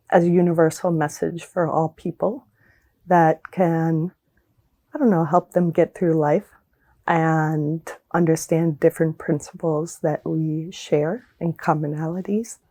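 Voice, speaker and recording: A woman's voice: low-pitched, warm tone, no room sound. A woman who dances, speaking earnestly and reflectively in an interview, often with ums and likes. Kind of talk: documentary interview.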